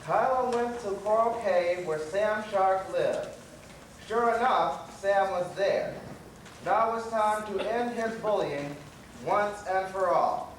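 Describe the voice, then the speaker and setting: A man's voice: high voice, takes it slowly. A man narrating a children's story on stage as the storyteller. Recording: echoey stage, distant mic, faint noise.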